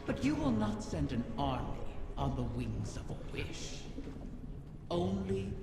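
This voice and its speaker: An actress playing a sly female captive dragon in a video game, slow and taunting with mock courtesy, creaky and at times whispery. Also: deep voice, sing-song, music in the background, heavily acted. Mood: sly, grand. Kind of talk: theatrical video game voice acting. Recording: long cavernous reverb.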